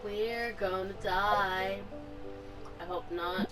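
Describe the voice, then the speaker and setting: Unhurried woman streamer over loud game music. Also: medium pitch, fairly even intonation. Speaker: gaming streamer. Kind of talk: casual reaction commentary while playing. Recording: slightly distant voice under loud game music. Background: loud video game music and effects.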